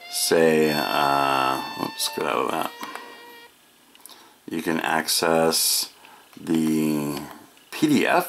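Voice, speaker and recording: Very deep-voiced, slow-talking American man. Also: fairly bright tone. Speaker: product reviewer. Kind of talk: casual product demonstration.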